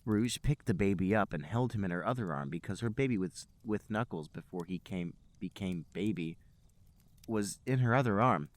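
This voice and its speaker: A man's voice: fairly low pitch, lively intonation, normal speed, dry recording. A man hosting a podcast, reading comedic narration aloud. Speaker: podcast host and reader.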